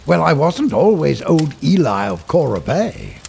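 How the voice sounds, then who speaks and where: An actor playing an eccentric, hospitable old former doctor with a creaky voice and a halting, theatrical manner in a period audio drama. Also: male voice, a little high, big pitch swings, a little mellow, a little hiss or hum, heavily acted. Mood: wistful, rambling. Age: older. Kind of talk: audio drama acting in 1930s period setting.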